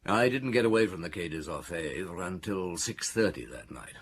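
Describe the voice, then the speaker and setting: A man playing a world-weary middle-aged chief inspector in an audio drama, with a husky voice and a dry, measured, probing manner. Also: sits low, lively intonation, heavily acted. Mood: reflective recounting. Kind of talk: radio drama acting, dialogue and reminiscence.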